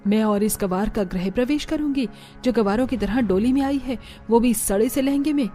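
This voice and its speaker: A woman voicing a haughty, spiteful middle-aged mother-in-law with a bossy manner in an animated family drama. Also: a little high, moderate pace, soft background music, lightly acted. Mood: scornful. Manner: scornful, bossy.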